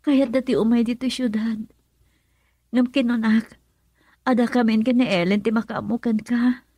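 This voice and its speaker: A woman acting as a young Filipino radio drama heroine, high and breathy, recorded close and dry. She is earnest and wounded, heavy with remorse. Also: steady tone, normal speed, warm tone, no room sound, lightly acted. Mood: teasing, earnest.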